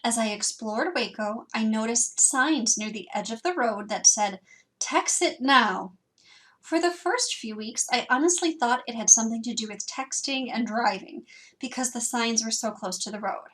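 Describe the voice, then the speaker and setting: A young librarian with a Wisconsin accent and a fairly high voice walks through a lesson in a scripted way. She keeps it lively, asks lots of questions and teases herself a little. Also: rough recording. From Wisconsin, USA. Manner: lively, self-teasing, asks many questions. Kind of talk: scripted instructional narration.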